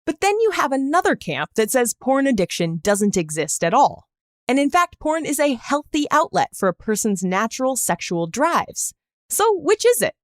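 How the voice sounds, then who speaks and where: A woman's voice: high voice, very animated, normal speed, no room sound, studio quality. A woman hosting a podcast, reading scripted narration in a wry, conversational way with asides. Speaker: podcast host.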